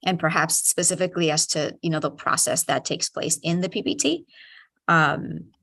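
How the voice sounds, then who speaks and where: Lowish woman's voice, school official answering at a meeting, careful and diplomatic, kinda halting. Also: steady tone, vocal fry, fairly bright tone. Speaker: school superintendent. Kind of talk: formal response at a meeting.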